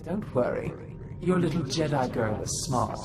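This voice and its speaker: With prominent music behind him, a sly, scholarly male Sith played by an actor speaks in an articulate, theatrical way. His pitch swings expressively through the lines, as in a dramatic video game cutscene. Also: lively intonation, measured pace, close to an everyday voice. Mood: measured, sly.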